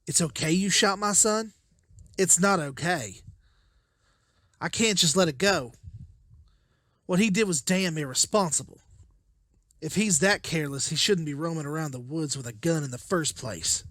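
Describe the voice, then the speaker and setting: An actor playing an anguished, protective father in a motion comic drama, husky and emotionally intense. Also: fairly high pitch, normal speed, pauses often, a bit bright, no echo, studio quality. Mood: indignant. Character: anguished, protective father leading zombie-apocalypse survivors.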